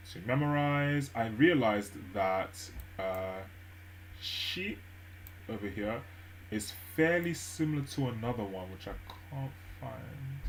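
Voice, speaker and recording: A man live-streaming his language study, thinking aloud with many fillers and restarts at a gentle pace. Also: fairly low pitch, a little hiss or hum, poor audio. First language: English. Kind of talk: relaxed study stream narration.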